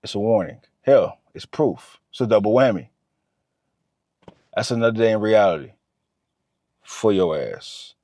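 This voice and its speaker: A man's voice: on the low side, expressive, unhurried, pauses often, a little mellow, no echo. A man, giving a motivational talk to camera. He talks straight to the viewer and repeats his points. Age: 30s. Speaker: vlogger.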